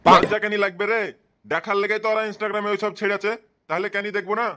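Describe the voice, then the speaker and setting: A man's voice: sits high, fairly even intonation, quick pace, pushed and bright. A man voicing a grumpy, bald old bookshop keeper in a comedy cartoon, scolding and sarcastic. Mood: irritated, defiant. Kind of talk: comic cartoon dubbing.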